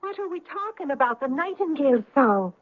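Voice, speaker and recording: An actress playing an earnest daughter who tells a wondrous tale in an old-time radio drama. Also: female voice, high-pitched, very animated, a little fast, narrow, tinny audio. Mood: wistful, hushed.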